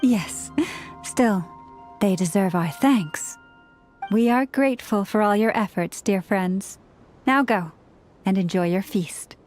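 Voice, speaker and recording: A woman playing a gentle, composed young woman in a video game, speaking in a measured, formal, polite way. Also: mid-range voice, soft background music, close to an everyday voice. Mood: grateful.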